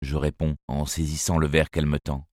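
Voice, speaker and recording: A man reading a children's novel aloud as first-person narrator, in a measured, expressive storytelling manner. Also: very deep voice, no echo, clean studio sound. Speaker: audiobook narrator.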